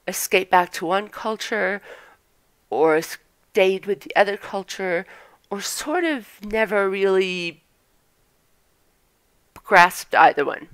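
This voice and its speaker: A woman whose first language is Norwegian talks about her upbringing in a halting, reflective way. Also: medium pitch, clean tone, dry recording. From Norwegian parents, born in the US, raised between the US and Norway. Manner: halting, many fillers and restarts, reflective. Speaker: vlogger. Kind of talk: casual personal monologue.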